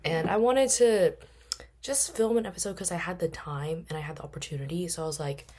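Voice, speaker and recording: A woman in her twenties talks through a relaxed solo podcast, her voice hoarse and worn out. She sits low in her register and rambles from one point to the next, filling the gaps with easy little fillers. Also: brisk. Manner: rambling, chatty, many fillers. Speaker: podcast host. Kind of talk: casual solo podcast chat.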